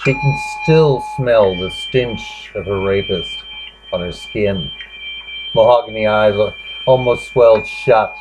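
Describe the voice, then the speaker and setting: A man giving a grave, halting dramatic reading of literary fiction as its narrator. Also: on the low side. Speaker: narrator reading a short story. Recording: dull lo-fi recording with clear background noise.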